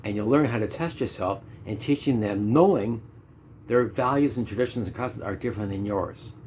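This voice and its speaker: A man's voice: lowish voice, a little fast, a little mellow, a little hiss or hum. A Canadian man in his fifties gives an instructional talk on teaching, stressing and repeating his key points and asking rhetorical questions. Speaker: ESL teacher. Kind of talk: instructional monologue.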